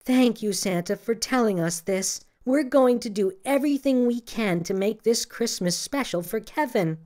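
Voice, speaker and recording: Male reader as female Christmas elf, kind and earnest, raised pitch, for a kids' story. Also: normal speed, darkish tone, lightly acted. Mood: grateful, earnest. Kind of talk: children's audiobook character voice.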